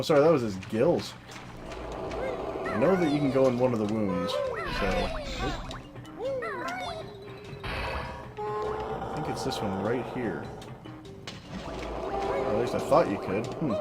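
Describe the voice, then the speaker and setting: A woman with an unusually deep, low voice narrates her gameplay in a flat, monotone, offhand way. She jokes at her own expense and swears casually. Loud video game music plays behind her voice throughout. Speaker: let's play commentator. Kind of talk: solo gameplay commentary.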